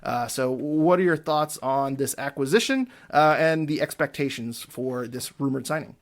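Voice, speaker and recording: A man's voice: medium pitch, moderate pace. A man hosting a casual soccer podcast, chatting loosely with many filler words and self-corrections. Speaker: podcast host. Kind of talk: casual sports podcast chat.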